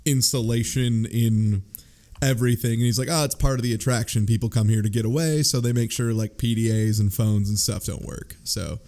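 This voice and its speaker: A man's voice: medium pitch, steady tone, a little fast, dark tone. A man chatting casually as a podcast panelist, retelling and riffing on a story in a rambling, amused, slangy way. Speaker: book club podcast panelist.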